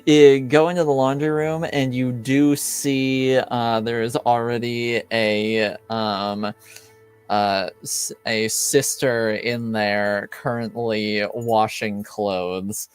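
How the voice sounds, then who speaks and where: Slow, smooth-voiced male game master. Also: mid-range voice, fairly even intonation. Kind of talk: narrating a tabletop roleplaying game. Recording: music behind the voice.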